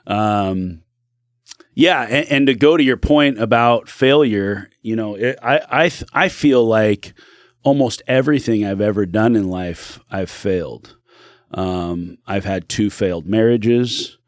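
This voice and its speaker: A man's voice: on the low side, measured pace, no room sound, studio quality. A middle-aged man, a podcast guest, telling his life story in an earnest, reflective way. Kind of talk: candid personal storytelling.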